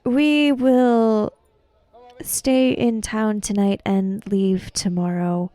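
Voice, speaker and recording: A woman playing a polite, deferential samurai interpreter in a tabletop game, speaking formally and haltingly. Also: mid-range voice, fairly even intonation, dark tone, dry recording. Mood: polite, careful.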